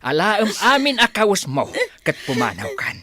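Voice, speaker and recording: A man's voice: sits high, wildly swooping pitch, moderate pace, no room sound, studio quality, exaggerated voice. A man playing a stern, scolding father in an Ilocano radio drama. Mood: angry, scolding. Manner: scolding, forceful. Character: stern, angry father disowning his daughter.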